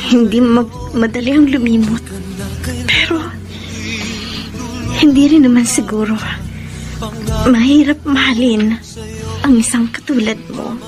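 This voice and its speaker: An actress playing a soft-hearted young woman, sincere and emotive, in a Filipino radio romance drama. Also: on the high side, measured pace, pauses often, lightly acted. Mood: tender, hesitant. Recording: music bed behind the voice.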